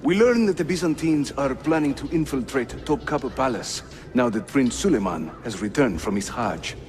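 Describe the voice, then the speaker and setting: A man's voice: medium pitch, moderate pace, natural voice. A man with a Turkish accent, playing a wry, spirited and loyal Ottoman assassin leader in a video game. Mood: urgent, firm. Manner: animated, wry. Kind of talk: cinematic game acting. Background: music.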